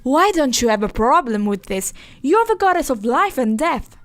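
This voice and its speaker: An actress playing a territorial, indignant nature goddess in a fantasy audio drama, exasperated and argumentative. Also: female voice, sits high, big pitch swings, moderate pace.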